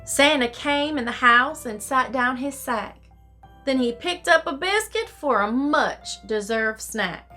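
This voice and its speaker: A woman storyteller with a high, bright voice reads to children. She takes her time and delivers each phrase theatrically. Soft music plays behind her. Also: lively intonation, slow pace. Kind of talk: expressive storybook reading in rhyme.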